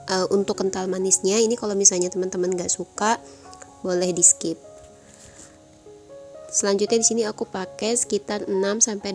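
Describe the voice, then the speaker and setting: A woman narrates a home cooking tutorial over clearly audible music. Her voice is on the low side and very even, with a stuffy, nasal sound from a cold. She explains each step in an informal, conversational way. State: stuffy nose from flu. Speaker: home cook presenting a recipe. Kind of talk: casual recipe tutorial voice-over. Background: background music.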